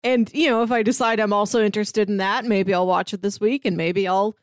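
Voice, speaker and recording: A woman's voice: mid-range voice, fairly even intonation, fairly quick, dry recording, clean studio sound. A woman chatting casually as a podcast co-host. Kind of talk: casual chat.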